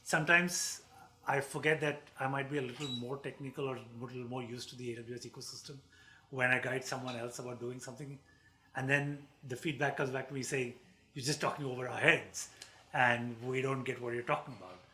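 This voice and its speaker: A male co-host with a crisp, bright voice at a middling pitch. He talks through long, meandering questions, pausing on fillers as he works out what he wants to ask. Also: fairly even intonation, poor audio. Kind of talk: conversational livestream interview.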